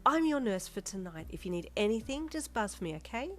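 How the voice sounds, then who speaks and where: A woman's voice: mid-range voice, big pitch swings, brisk, fairly bright tone, voice pushed up. A woman voicing a bubbly, friendly female night nurse.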